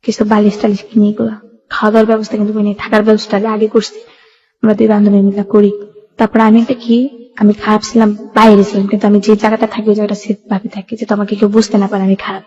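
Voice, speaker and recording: A woman's voice: medium pitch, completely flat delivery, fast talker, warm tone, breathy edge, muffled recording. A young woman with a colloquial Bangladeshi Bengali accent, telling her life story in a plain, run-on way as a radio interview guest.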